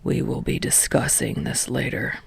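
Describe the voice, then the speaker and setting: A woman voicing a weary, deadpan male teacher who speaks in a tired, droning monotone in a story reading. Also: a bass voice, normal speed, gravelly, slightly rough, dry recording, voice pushed down, heavily acted.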